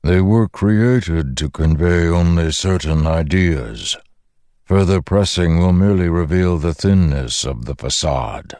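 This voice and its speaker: Fantasy audiobook storm spirit, played by a male narrator: deep, rumbling, ancient, solemn and dismissive, formal delivery, dry sound. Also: a little mellow, dry recording. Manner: slow, formal, weighty pronouncements.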